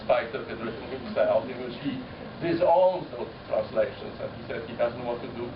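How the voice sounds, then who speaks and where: A man whose first language is Polish, speaking as a translator at a panel discussion, thoughtful and halting, often rephrasing as he goes. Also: medium pitch. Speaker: poet-translator. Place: university common room. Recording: echoey room, distant mic, muffled, noisy.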